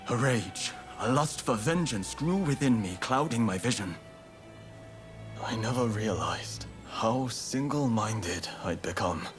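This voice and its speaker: An actor playing a fervent young samurai rebel haunted by grief, earnest and intense, in a video game cutscene. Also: male voice, lowish voice. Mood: remorseful, reflective.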